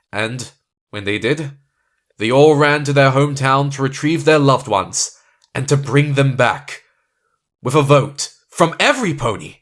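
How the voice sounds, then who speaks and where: A man acting as a gentle storybook narrator, reading scripted narration for an audio drama. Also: mid-range voice.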